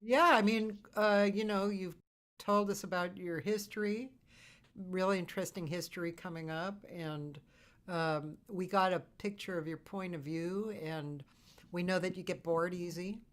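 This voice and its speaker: A woman hosting a casual live interview, speaking informally and haltingly as she thinks aloud. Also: fairly low pitch, steady tone. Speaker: host, interviewer.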